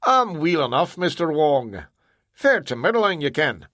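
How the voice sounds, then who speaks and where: A man's voice: on the high side, very animated, unhurried, fairly bright tone, no room sound, clean studio sound, voice pushed up. Storybook role: a cunning Scottish engineer, dogged and wheedling, put on by a male narrator. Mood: gruff. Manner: broad dialect, stubborn and sly.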